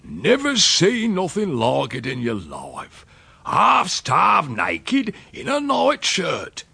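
Gravelly old rustic English rogue. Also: male voice, high-pitched, huge pitch swings, bright, forceful tone, no echo, voice pushed up, heavily acted. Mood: boastful. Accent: rural English. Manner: sly, drawn-out dialect storytelling. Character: wicked, droll old country uncle spinning tall tales.

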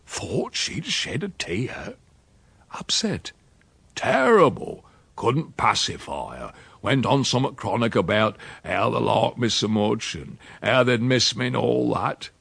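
Guy doing a crusty old country uncle, gravelly and husky, slow drawl, voice swoops all over, dry sound. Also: mid-range voice, big pitch swings, a bit bright, no room sound, exaggerated voice. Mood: chuckling. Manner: slow, chuckling drawl with dialect grammar. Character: sly old country uncle, boastful rustic yarn-spinner. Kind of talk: storybook reading.

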